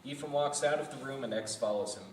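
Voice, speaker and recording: A man narrates a script at a table read, giving the stage directions in a mid-pitched voice. He sounds distant in an echoey room, with some faint noise around him. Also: fast. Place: indoor festival venue.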